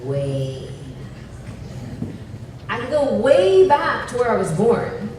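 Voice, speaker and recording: A woman from Tanzania shares her personal story at a fundraising brunch, animated and joking at times, earnest and reflective at others. She sounds distant from the mic, with heavy room echo. Constant ambient noise hums underneath. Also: a little high, takes it slowly. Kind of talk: personal story told onstage. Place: event hall at a brunch. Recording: echoey hall, far mic, ambient noise.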